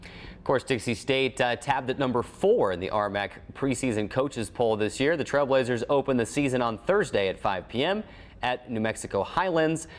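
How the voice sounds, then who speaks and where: A man's voice: mid-range voice, normal speed. American male sports show host, announcer delivery. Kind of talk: scripted sports show narration.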